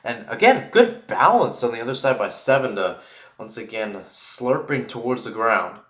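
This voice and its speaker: A man's voice: mid-range voice, animated, moderate pace, a bit bright, roomy sound. A man calling live American football play-by-play for radio, chatting through asides and swelling on big plays.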